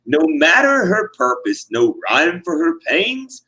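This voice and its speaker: A man's voice: on the high side, sing-song, takes it slowly, bright, forceful tone, slightly rough, rough recording. A man narrating rhyming comic verse live, in a theatrical, emphatic, sing-song manner. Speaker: performer, narrator.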